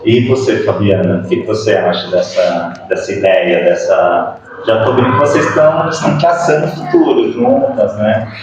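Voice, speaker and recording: A man's voice: lowish voice, animated, moderate pace. A Brazilian man hosting a podcast, interviewing conversationally and hesitantly, often rephrasing as he goes. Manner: hesitant, repeats and rephrases questions. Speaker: podcast host. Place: echoey room, far from the microphone. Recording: very echoey, distant, muffled, faint noise.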